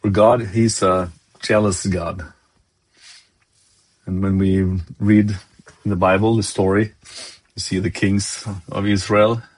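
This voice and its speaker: A man from Norway with a Norwegian accent, giving a devotional sermon in English, his second language, pausing often to search for words. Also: lowish voice, flat delivery. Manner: halting, searching for words, many fillers. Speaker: lay preacher.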